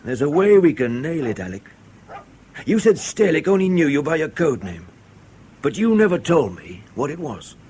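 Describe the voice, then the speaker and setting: A man playing a dogged, controlled intelligence officer in a radio drama, questioning in a measured, pointed way. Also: on the high side, sing-song, darkish tone, slight background noise. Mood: grave, insistent.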